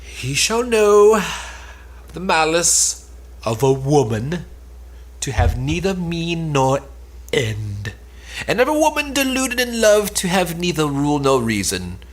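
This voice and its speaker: A man playing a scheming, lovesick woman in an Elizabethan stage drama, declaiming with drawn-out anguish and spite. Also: fairly high pitch, huge pitch swings, exaggerated voice. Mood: vengeful, determined.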